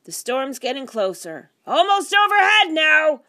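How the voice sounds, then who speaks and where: A woman's voice: high-pitched, big pitch swings, unhurried, pitched above the performer's own voice, exaggerated voice. A woman voicing an irritable, exclamatory husband in a children's storybook. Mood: alarmed, overwhelmed. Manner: loud, exclamatory shouting. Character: irritable husband tormented by suddenly magnified hearing.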